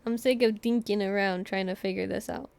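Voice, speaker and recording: A woman with a creaky voice chatting casually as she streams live gameplay. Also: mid-range voice, a little fast, darkish tone.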